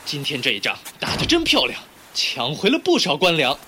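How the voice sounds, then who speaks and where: A man playing a gruff, hot-tempered, domineering young bandit chief in an audio drama, speaking forcefully with a swagger. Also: fairly high pitch, wildly swooping pitch, moderate pace, a little hiss or hum, full character voice. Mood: pleased. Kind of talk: audio drama acting in Mandarin.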